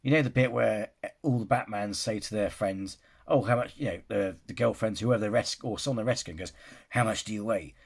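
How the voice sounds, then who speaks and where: Guy, husky voice, rambling film-reaction vlogger, casual. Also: on the low side, fairly quick. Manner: rambling, restarts sentences, often says "you know". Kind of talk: casual opinion vlog.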